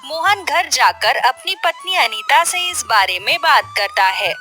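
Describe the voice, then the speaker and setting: A woman narrating a scripted children's story in an expressive storytelling manner. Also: sits high, unhurried, faint music behind.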